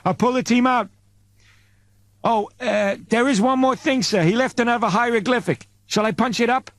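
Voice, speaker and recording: A junior spaceship comms officer in an audiobook story, played by a male narrator. He is earnest and deferential, speaking in a raised pitch. The recording is very dry. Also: moderate pace, no room sound. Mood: anxious, deferential. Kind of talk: audiobook character voice.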